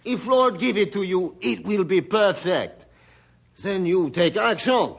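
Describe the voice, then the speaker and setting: An actor playing a hearty, tough French-Canadian lumberjack boss in a radio drama, speaking broken English with a thick accent. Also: male voice, high-pitched, animated, a little slow, gravelly, heavily acted. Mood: hearty, hopeful. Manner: broken English, refers to himself by name. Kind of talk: old-time radio drama acting.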